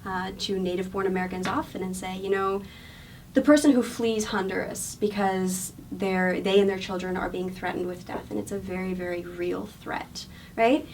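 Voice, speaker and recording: A woman's voice: mid-range voice, steady tone, moderate pace, a little mellow, far from the mic, slight background noise, rough recording. A woman with a non-local accent answering interview questions in an earnest, explanatory way, often repeating words as she thinks. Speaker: guest.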